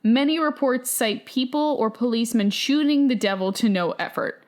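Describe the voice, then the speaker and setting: High-voiced, irreverent woman podcast host. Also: normal speed, a little mellow. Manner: irreverent, profane, wry asides. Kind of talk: casual chat, reading listener stories aloud.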